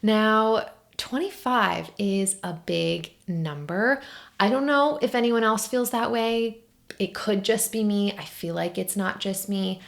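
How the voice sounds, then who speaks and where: Young woman in her twenties, casual solo podcast host, chatty and candid, a touch of vocal fry. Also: medium pitch, slow. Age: 20s. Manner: chatty and candid, lots of "like" and "oh my gosh" asides. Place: at home by a window.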